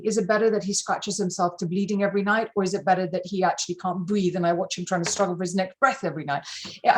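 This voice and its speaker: A middle-aged woman from Malawi, an interview guest speaking candidly and emphatically, often repeating words for stress. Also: mid-range voice.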